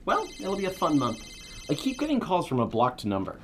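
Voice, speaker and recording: High-pitched chipper man. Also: wildly swooping pitch, brisk. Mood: upbeat, curious. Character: chipper, oddball male radio office coworker. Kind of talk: comedic audio drama dialogue. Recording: noisy office background.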